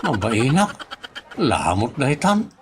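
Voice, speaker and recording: A man's voice: medium pitch, wildly swooping pitch, takes it slowly, a little hiss or hum. A man with an Ilocano accent playing a bashful, fussy husband in a rural family audio comedy. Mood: embarrassed, reluctant.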